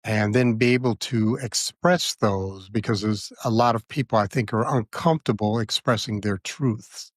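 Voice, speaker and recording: A man in his sixties talking as a podcast guest, earnest and measured, with many fillers. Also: fairly low pitch, dry recording, clean studio sound. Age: 60s. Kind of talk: reflective conversational interview.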